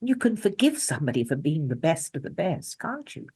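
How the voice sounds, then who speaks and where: A woman's voice: low voice, sing-song, brisk, a little mellow. A woman giving a reflective tribute in an interview.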